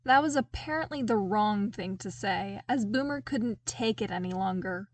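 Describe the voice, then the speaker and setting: A woman's voice: mid-range voice, dry recording. A woman reading a story aloud as a scripted audiobook-style narration. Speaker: podfic narrator.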